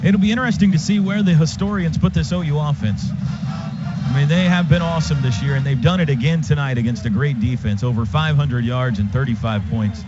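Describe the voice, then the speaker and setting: A man's voice: fairly high pitch, a little fast, over loud music. An American man doing live radio play-by-play of football, in clipped phrases during play and conversationally between plays. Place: stadium radio booth. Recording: dull, noisy live stadium radio broadcast.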